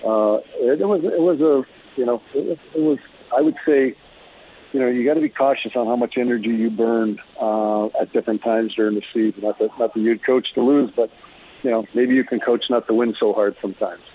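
Muffled lo-fi audio, mature man, raspy voice, reflective sports interview guest, halting phrasing. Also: medium pitch, fairly even intonation. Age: middle-aged or older. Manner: halting, thoughtful, repeats words. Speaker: interview guest, former hockey player. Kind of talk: casual reflective sports talk.

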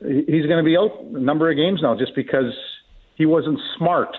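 A man's voice: on the high side, normal speed, slightly rough. A man giving folksy, conversational opinions as a guest commentator in casual sports radio talk, heard over a tinny, narrow line.

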